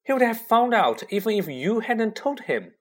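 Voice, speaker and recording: A reader voices a young boy wizard from a storybook. He is earnest, kind and eager, with a raised pitch that moves around a lot. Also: big pitch swings, moderate pace. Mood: reassuring, urgent. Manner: earnest, pleading.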